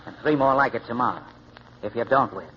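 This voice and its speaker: Casting: male actor for shady gambler, medium pitch, slangy and insinuating, radio drama, dulled recording with light hiss. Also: animated, quick pace, natural voice. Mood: coaxing menace. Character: shady gambler man, coolly pressuring a green kid. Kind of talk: 1940s radio acting. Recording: muffled, hissy old radio recording.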